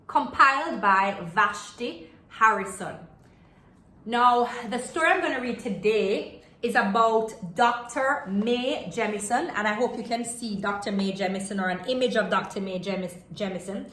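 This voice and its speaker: A woman with a fairly high voice reads a children's book aloud in a roomy, echoing space. She is expressive and speaks directly to young listeners, mixing the text with asides and questions. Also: measured pace. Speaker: storyteller reading aloud for children. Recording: roomy, echoey home-style video recording.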